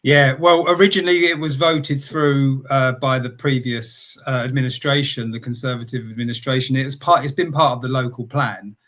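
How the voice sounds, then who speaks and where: A man in casual discussion, explaining in a hesitant, halting way. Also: mid-range voice, steady tone, fairly bright tone.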